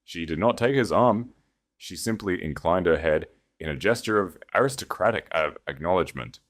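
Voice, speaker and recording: A man's voice: deep voice, lively intonation, normal speed. A man reading scripted fiction as an audiobook narrator, in a dramatic storytelling style.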